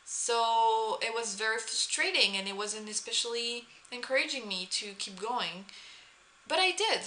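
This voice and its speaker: Bright young French-accented woman. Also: fairly high pitch, a little hiss or hum, low-quality recording. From France. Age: young adult. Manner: chatty, many "um" pauses and restarts. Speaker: vlogger, former exchange student. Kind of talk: casual talk to camera.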